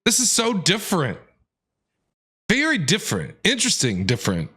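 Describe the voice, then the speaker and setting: A man's voice: sits high, wildly swooping pitch, normal speed, slightly rough. A man giving casual gameplay reaction commentary as a streamer, enthusiastic, informal and playful. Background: soft game music.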